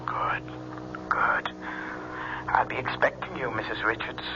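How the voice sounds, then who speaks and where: An actor playing a menacing extortionist in a radio drama, speaking with slow, insinuating repetition. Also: male voice, medium pitch, little pitch movement, in a whisper, natural voice. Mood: soft threat. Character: menacing fortune teller extorting money, coldly insinuating. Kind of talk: 1970s radio drama acting. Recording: muffled, noisy old radio broadcast.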